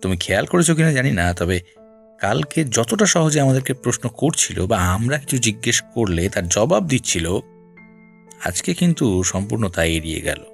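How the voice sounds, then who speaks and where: An actor playing a shrewd, persistent senior detective in an audio drama, questioning in a deliberate, probing way. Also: male voice, mid-range voice, sing-song, vocal fry. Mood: reflective. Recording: music bed behind voice.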